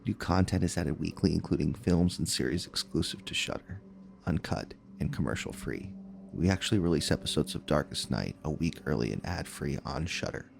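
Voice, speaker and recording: A man's voice: a bass voice, animated, brisk, creaky. A man reading a scripted podcast sponsor message as the show's announcer. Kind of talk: scripted ad read.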